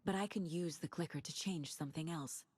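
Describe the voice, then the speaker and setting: Low-voiced intense woman detective. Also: brisk, a little mellow, breathy edge. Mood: focused, deducing. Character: troubled, determined woman detective investigating a horror story. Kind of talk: dramatic video game voice acting.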